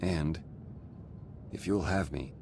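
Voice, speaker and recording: Roguish loyal agent, extremely low pitch, earnest, hesitant, game dialogue, background noise. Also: male voice, takes it slowly. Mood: contrite, earnest. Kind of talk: naturalistic video game acting.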